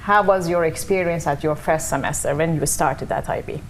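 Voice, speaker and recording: A woman's voice: sits low, moderate pace, a little hiss or hum, poor audio. Hesitant woman hosting a panel. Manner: hesitant, frequent fillers. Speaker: host and business coach. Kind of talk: moderating a panel. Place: online open day session.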